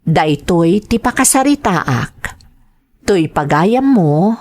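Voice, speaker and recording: A woman's voice: lowish voice, lively intonation, slow pace, darkish tone. Woman as a plaintive betrayed wife telling her story. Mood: sorrowful. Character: betrayed wife abroad, sorrowfully recounting her life. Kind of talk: first-person dramatized storytelling.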